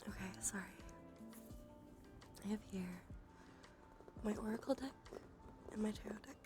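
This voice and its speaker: A woman streamer chatting softly in an ASMR stream, often dropping into a whisper. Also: mid-range voice, normal speed. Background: music.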